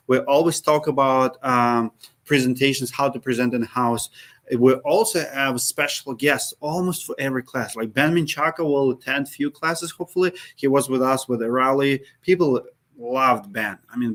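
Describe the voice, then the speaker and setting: A man's voice: mid-range voice, a bit bright. Accented man hosting casual live Q&A. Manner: says he speaks with an accent, drops articles, many fillers and restarts. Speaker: host. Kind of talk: live Q&A with viewers. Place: Minneapolis.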